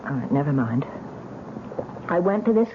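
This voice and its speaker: A woman's voice: deep voice, sing-song, moderate pace, narrow, tinny audio, a little hiss or hum. An actress playing an anxious woman desperate to be believed in a vintage radio drama, earnest and wounded, by turns hesitant and emphatic. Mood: weary, defensive. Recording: band-limited old radio recording with music.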